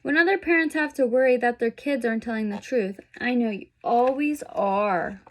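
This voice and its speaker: A woman voicing a loving mother zebra in a picture book, gentle, reassuring and encouraging. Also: fairly high pitch, moderate pace, close to an everyday voice.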